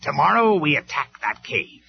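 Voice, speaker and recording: A man's voice: mid-range voice, big pitch swings, moderate pace, voice pushed up, close to an everyday voice. A man voicing a ruthless male robot warlord who snaps and barks his orders in a storybook reading. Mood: commanding. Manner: barking, snapping orders. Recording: slightly dull lo-fi recording with faint music.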